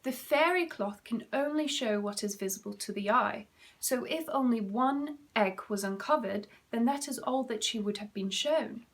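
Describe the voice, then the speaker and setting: A man's voice: extremely high pitch, moderate pace, fairly bright tone, voice pushed up. A man reading a children's story, voicing a haughty, thoughtless young princess with a prim manner. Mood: explaining.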